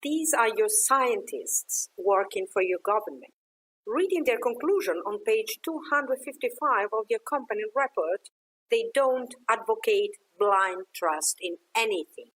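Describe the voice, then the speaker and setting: A woman's voice: a little high, lively intonation, measured pace, fairly bright tone, low-quality recording. A woman playing a challenging journalist in an audio drama, formal, pointed and insistent as she questions a politician. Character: firm, challenging female journalist interviewing a politician. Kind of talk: audio drama acting, news interview.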